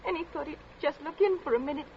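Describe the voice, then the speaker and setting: A woman playing a frightened, distraught young daughter in a radio drama, halting, stammering and tearful as she answers her stern father. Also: high-pitched. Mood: hesitant, fearful. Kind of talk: 1940s radio drama acting. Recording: muffled, noisy old radio recording.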